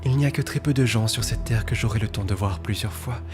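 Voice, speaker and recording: A man acting in a dubbed TV thriller as a cold, condescending, time-obsessed hacker leader, speaking in a breathy, hushed, precise and formal way. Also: fairly low pitch, fairly quick, natural voice. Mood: coldly final. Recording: loud music under the voice.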